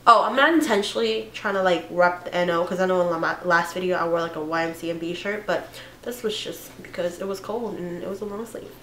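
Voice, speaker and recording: A young woman with a hoarse voice from a cold, chatting casually through a makeup tutorial with fillers and self-corrections. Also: on the low side, a little fast, fairly bright tone, roomy sound. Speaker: beauty vlogger.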